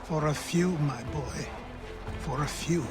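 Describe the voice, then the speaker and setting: An actor playing a stern, world-weary old mentor in a video game, blunt and instructive in manner. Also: male voice, a little high, slow, loud music behind the voice. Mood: wistful.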